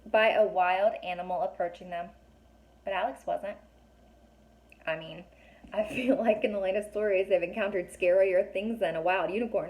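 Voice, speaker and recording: A woman reading a children's story aloud, pausing to ask young listeners questions and explain things in a chatty way. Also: medium pitch, a little mellow, low-quality recording. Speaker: teacher or reader reading a children's book aloud.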